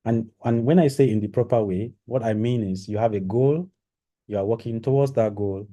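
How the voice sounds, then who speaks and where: A man's voice: lowish voice, moderate pace, dark tone. A man giving a live-streamed orientation talk to trainees, rambling and repeating himself with many fillers. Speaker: training program organizer.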